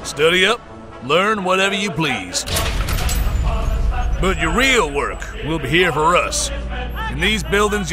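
An actor playing a confident, businesslike American official with brisk, folksy authority in a comic drama. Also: male voice, a little high, lightly acted.

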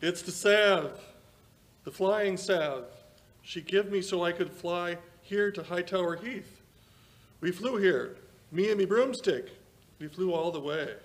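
An older man playing a frail, dazed old villager, rambling haltingly in a radio drama. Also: sits high. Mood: dazed, shivering. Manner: halting, drawn-out delirious rambling. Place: small indoor stage, live performance. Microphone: vintage-style stand microphone.

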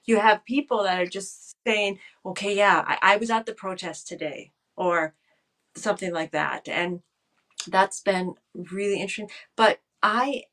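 A woman in her fifties, a political scientist, talking thoughtfully over a video call from a room at home, often pausing to restart her phrases. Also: medium pitch, a bit bright. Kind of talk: casual video call interview. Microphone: video call webcam.

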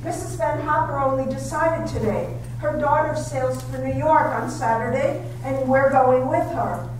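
An amateur actress in a staged radio drama reading, playing a shy young woman who speaks hesitantly and softly, full of self-doubt. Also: high voice, measured pace, slight background noise. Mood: anxious. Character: shy, timid young female paid companion. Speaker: amateur readers theater actress. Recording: echoey hall, far from mic.

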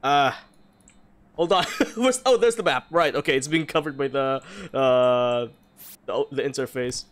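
A man chatting casually and excitably as he streams a game, often breaking into laughter. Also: mid-range voice, unhurried. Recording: clear background noise. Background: game sound and group voice chat.

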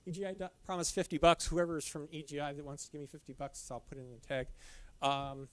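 A man's voice: fairly high pitch, flat delivery, a bit bright. A middle-aged man giving a casual slide talk in a dim lecture room, with many restarts and fillers. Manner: loose, halting, frequent restarts and fillers. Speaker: caving club presenter.

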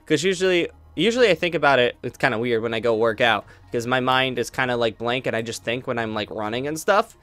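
A man's voice: mid-range voice, quick pace, few pauses, a bit bright. A young man chatting casually to his viewers, rambling with many fillers and restarts. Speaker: YouTube roleplay creator.

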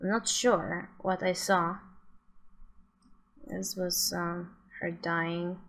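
Slow, halting young woman reacting casually. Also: on the low side, flat delivery, fairly bright tone. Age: 20s. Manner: hesitant, halting phrasing. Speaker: streamer reacting to game videos. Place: small room at home.

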